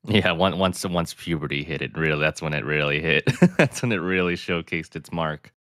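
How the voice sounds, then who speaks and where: A male co-commentator with a very low, smooth and soothing voice, recorded dry and close. He talks in a calm, thoughtful way, weighing the strategy before he speaks. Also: normal speed, no room sound. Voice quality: deep, smooth voice. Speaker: player and guest commentator. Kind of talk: casual game commentary.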